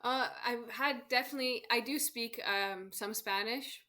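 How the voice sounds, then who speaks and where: A woman's voice: a little high, measured pace, sharp tone. A woman from Canada, a guest on an interview show, chatting casually about travel and laughing often. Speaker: guest, solo travel expert.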